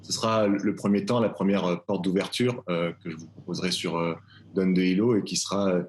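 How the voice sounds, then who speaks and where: A man presenting his stage adaptation live, speaking in measured, literary phrasing with frequent fillers. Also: low-pitched, fairly even intonation, slight background noise. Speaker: theatre director presenting his play. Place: live video call.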